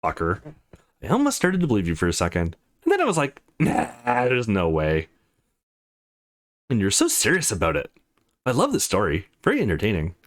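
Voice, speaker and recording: A man voicing a brash, teasing, foul-mouthed teenage girl in a visual novel, blunt and excitable. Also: fairly low pitch, normal speed, long pauses. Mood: amused, teasing. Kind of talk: visual novel character reading.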